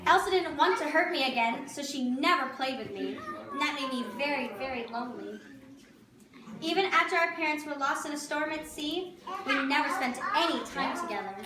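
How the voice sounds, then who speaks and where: A woman's voice: high voice, brisk, close to an everyday voice. A woman playing an earnest, romantic young princess, narrating her adventure expressively as a story for children. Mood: storytelling, wistful. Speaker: costumed storyteller performer. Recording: distant, echoey room with audience chatter.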